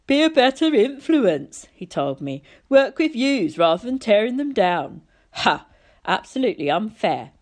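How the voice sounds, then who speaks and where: Woman acting out petulant middle-aged male god, storybook character, whiny, pouting, theatrical, very animated pitch. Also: measured pace.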